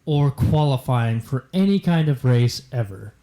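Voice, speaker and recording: A man's voice: mid-range voice, animated, measured pace, warm tone. A man co-hosting a car podcast, joking and emphatic, repeating words to keep the floor. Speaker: podcast co-host. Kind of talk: casual banter.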